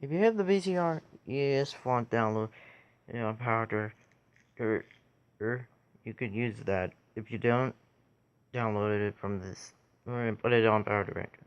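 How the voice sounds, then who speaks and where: A man giving a casual how-to tutorial in a halting way, pausing between short phrases. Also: medium pitch, clean tone, muffled recording. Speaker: tutorial video creator.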